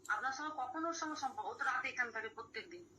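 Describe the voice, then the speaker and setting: A woman's voice: on the high side, lively intonation, rapid-fire, pushed and bright. A woman pleading insistently and repeating herself in an emotional argument on a phone call recording. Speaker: caller confronting another woman.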